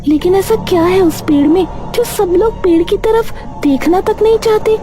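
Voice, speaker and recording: A woman's voice: very high-pitched, moderate pace, background noise. A woman voicing a curious young woman who questions the village's ghost stories. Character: young woman Swara, doubtful and teasing.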